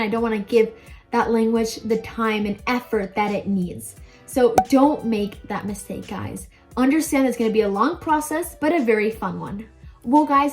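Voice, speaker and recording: A woman's voice: a little high, a little mellow. A young woman giving casual advice to viewers as a language-learning video host, chatty, informal and emphatic. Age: young adult.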